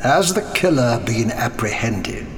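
An actor playing a cold, calculating male president with a slow, measured delivery. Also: mid-range voice, expressive, a little hiss or hum, natural voice. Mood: scheming. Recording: music playing under voice.

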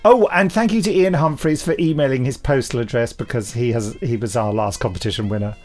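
A man's voice: mid-range voice, a little fast, darkish tone, soft background music. A man co-hosting a podcast, chatting casually and reading out emails with wry, playful asides. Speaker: podcast co-host.

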